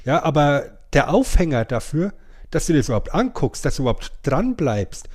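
A man with a slightly high voice co-hosts a podcast. He talks informally and colloquially, often repeating a word to drive his point home. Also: sing-song, brisk, darkish tone. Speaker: podcast co-host. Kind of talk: casual podcast chat.

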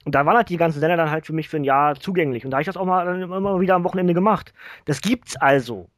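Man, slightly high voice, quick pace, opinionated, casual podcast talk, close dry sound. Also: no room sound. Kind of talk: casual podcast discussion about cartoons.

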